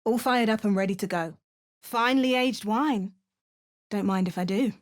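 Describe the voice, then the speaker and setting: A dry, wry female adventurer, voiced by a woman muttering short remarks in a put-on Cockney accent. Her pitch sits mid-range for a woman, and she sounds like a fantasy video game hero. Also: moderate pace, natural voice. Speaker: player character.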